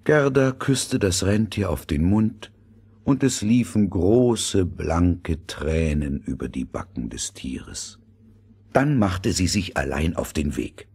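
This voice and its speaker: A man's voice: deep voice, expressive, slow, a little mellow, husky. A man narrating a scripted fairy tale as the storyteller of an audio drama.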